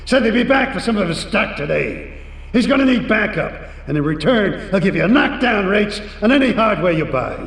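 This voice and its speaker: Sneering streetwise cop, raspy and animated, in a video game cutscene. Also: male voice, high voice, moderate pace, reverberant, long reverb tail, recorded from a distance, exaggerated voice. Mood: scheming. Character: corrupt, cynical middle-aged male cop.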